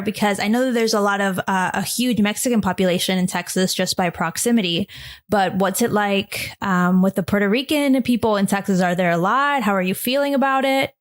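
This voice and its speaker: A woman hosting a podcast, speaking in an informal, chatty way as presenter and interviewer. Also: medium pitch. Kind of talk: scripted podcast intro and casual interview chat.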